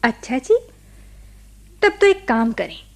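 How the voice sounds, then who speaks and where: An actress playing a gentle, bashful young village-born wife in a radio drama, with soft, emotive delivery. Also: female voice, on the high side, very animated, slow pace, full character voice. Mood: bashful, teasing. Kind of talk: Hindi radio drama acting.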